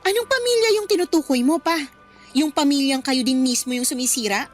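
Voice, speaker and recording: An actress as a devoted young daughter in a radio drama. Her voice is high, earnest and emotional, protective and outspoken, with music behind her. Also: brisk, close to an everyday voice. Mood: upset, defiant. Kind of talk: Filipino radio drama acting.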